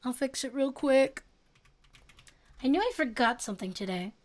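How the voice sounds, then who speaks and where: A woman's voice: sits high, animated, moderate pace. A young woman streamer chatting casually with her viewers and giggling often. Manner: giggly, chatty, reads chat aloud.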